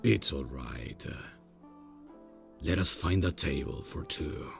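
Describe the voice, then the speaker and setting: A man playing a stiff, awkwardly polite demon from hell in an ASMR comedy roleplay, speaking formally and haltingly in a deadpan way. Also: faint music behind, full character voice. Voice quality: very deep, gravelly. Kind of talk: ASMR roleplay, scripted comic monologue.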